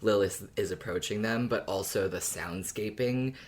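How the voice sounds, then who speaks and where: Very low-voiced woman chatting on a podcast. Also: moderate pace, a little mellow, slight background noise. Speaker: podcast co-host. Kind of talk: casual fan discussion of an audio drama.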